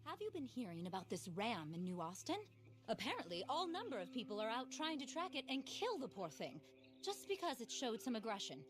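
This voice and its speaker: A woman's voice: a little high, sing-song, a little fast, loud music behind the voice. An actress playing an eccentric, easily flustered lady naturalist in a video game, speaking in a genteel, fervent, theatrical way. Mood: indignant, admiring. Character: earnest, flustered, eccentric lady naturalist who adores animals. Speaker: character in a video game cutscene. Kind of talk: dramatic game voice acting.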